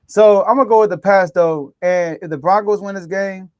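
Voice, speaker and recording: A man's voice: high-pitched, normal speed, no echo. A man hosting a casual sports talk show, rambling through his picks with frequent restarts and laughs.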